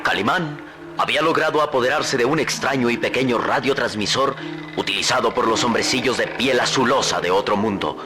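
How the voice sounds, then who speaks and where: Suspenseful radio-drama narrator with loud music. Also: male voice, medium pitch, fairly quick.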